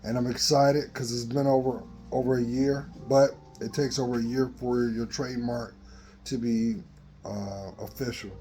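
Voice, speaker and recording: A man in his fifties with a dry voice, giving a slow, earnest motivational talk into a phone in a garage. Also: medium pitch, fairly even intonation, dark tone, soft background music. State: dry voice, coughing. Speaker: vlogger. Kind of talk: motivational selfie vlog. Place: open garage, daytime. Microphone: phone at arm's length.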